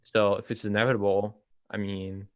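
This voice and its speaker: Low, flat-voiced young man. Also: dry recording. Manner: hesitant, halting delivery. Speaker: co-host of a reading discussion. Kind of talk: casual discussion.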